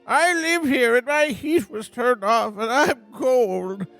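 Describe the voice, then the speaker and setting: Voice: medium pitch, takes it slowly, fairly bright tone, faint music behind. A performer playing a wretched, cold old man who whines plaintively in a comic radio play. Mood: pitiful pleading. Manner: whining, plaintive. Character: wretched, cold old male derelict, pleading.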